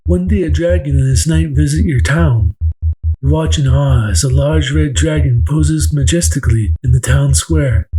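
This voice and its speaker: A man narrates a fantasy tale for relaxation in a calm, gentle ASMR style. His voice is mellow and slightly high, with soft electronic music behind it. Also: normal speed, slightly rough, low-quality recording. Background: soft electronic ambient music.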